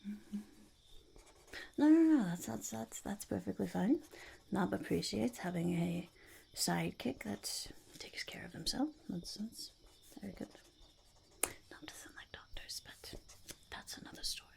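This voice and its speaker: A woman voicing an eccentric, self-important goblin in a whispered ASMR roleplay, breathy with creaky edges, fussy, hesitant and repetitive. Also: mid-range voice, animated, measured pace, pauses often. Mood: awkward, approving. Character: eccentric, self-important goblin overlord interviewing a would-be sidekick.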